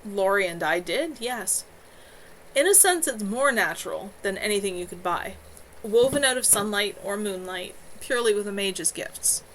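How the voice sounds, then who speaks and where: A woman reading a novel aloud, voicing a calm, careful teenage mage who explains things in a measured way. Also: mid-range voice, slight background noise, close to an everyday voice, poor audio. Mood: explaining.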